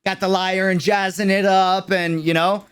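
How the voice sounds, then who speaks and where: Young, slangy livestream reactor, mid-pitched and gushing, loud music underneath. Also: level tone, normal speed. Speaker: streamer reacting to and breaking down a game trailer. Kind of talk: casual livestream reaction commentary. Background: loud game trailer music.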